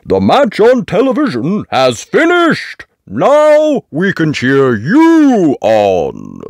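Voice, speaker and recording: A jovial storybook father pig, as a woman puts him on. He cheers like a sports fan, loud and drawn out, with wildly swinging, raised pitch. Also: wildly swooping pitch, takes it slowly, no room sound, studio quality, heavily acted. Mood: jolly.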